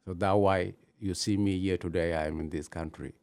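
A man from Ethiopia, telling his own story in halting, with many pauses. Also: low voice, fairly even intonation, gravelly, darkish tone. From Gambela, Ethiopia. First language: not English. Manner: halting non-native English, many pauses. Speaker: interviewee, new citizen. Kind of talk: personal story in an interview.

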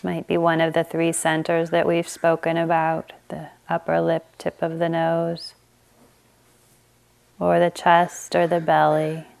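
Slow, gentle woman guiding meditation. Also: low voice, completely flat delivery, creaky, smooth. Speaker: meditation teacher. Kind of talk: guided meditation.

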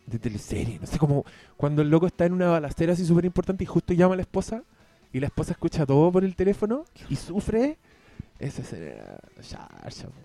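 Chilean guy co-hosting a home film podcast, slightly high warm voice, joking and swearing, faint music behind. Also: huge pitch swings, quick pace, no echo. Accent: Chilean Spanish. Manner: rambling, profane, joking. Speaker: podcast co-host. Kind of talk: casual chat about films.

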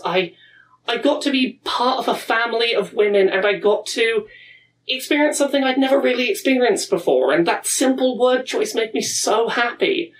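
A woman in her thirties speaks earnestly and reflectively, pausing and restarting as she recalls personal moments. Her voice sits at a middling pitch and sounds set back from the microphone. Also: recorded from a distance, poor audio. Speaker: speaker at a pride event.

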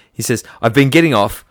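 A man's voice: medium pitch, moderate pace, no room sound, clean studio sound. An Australian man co-hosting a podcast, chatting casually and hesitantly as he searches for his words. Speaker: podcast co-host. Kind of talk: casual whisky podcast chat.

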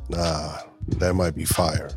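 A man's voice: a bass voice, little pitch movement, slow, slightly rough, faint music behind. A young man co-hosting a casual chat, laid-back and teasing, mostly answering with short reactions. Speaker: podcast co-host.

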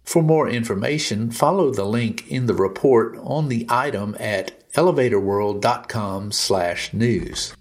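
A man's voice: mid-range voice, unhurried, hardly any pauses. A man presenting a scripted industry news update on a podcast.

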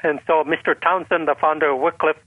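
An older man from the northern Philippines tells his story over a thin, tinny phone line. His voice sits a little high and sounds dry and close. He talks in an earnest way and often repeats words to stress them. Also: steady tone, fairly quick, breathy edge, dry recording. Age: 70s. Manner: earnest storyteller with a light laugh, repeats for emphasis. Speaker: guest. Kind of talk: conversational testimony.

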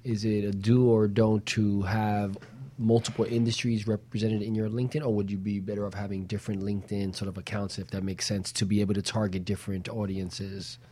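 A man's voice: fairly low pitch, level tone, a little fast, mellow. A man co-hosting a talk show, asking questions in a casual, conversational way.

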